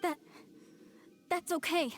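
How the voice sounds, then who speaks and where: A woman's voice: extremely high pitch, takes it slowly, faint music behind. An actress playing a fervent, devout young woman in a video game, earnest, dramatic and defiant in her delivery. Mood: desperate resolve. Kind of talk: scripted video game voice acting.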